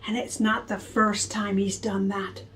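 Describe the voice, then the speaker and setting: A woman's voice: medium pitch, flat delivery, unhurried, fairly bright tone, natural voice. A woman voicing an upset little girl who gets whiny and insistent. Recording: distant from the mic.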